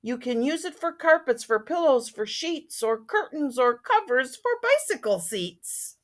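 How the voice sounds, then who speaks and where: A woman's voice: high-pitched, very animated, measured pace, pitched above the performer's own voice. A woman reading the part of a greedy, brash male tradesman in a storybook, boastful and brusque. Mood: defensive, boastful.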